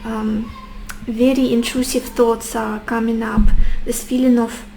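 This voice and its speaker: A woman, a little sick and losing her voice, giving a gentle tarot reading to her viewers and musing aloud with soft hesitations. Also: on the high side, flat delivery, a little slow, slight background noise, poor audio. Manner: gentle, addresses viewers warmly as beautiful souls. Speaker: tarot reader.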